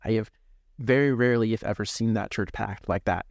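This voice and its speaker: A young man in his twenties talks things through slowly, pausing and restarting as he searches for the right words. His voice sits low, and the studio sound is close and dry with no room echo. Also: creaky. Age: 20s. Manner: thoughtful, hesitant, searching for words. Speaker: seminarian guest. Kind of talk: reflective interview talk.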